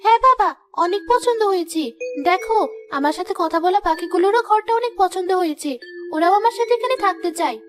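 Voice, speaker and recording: A woman's voice: very high-pitched, fairly quick. A woman voicing a playful, stubborn fifteen-year-old girl with a childlike, pleading manner. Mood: eager.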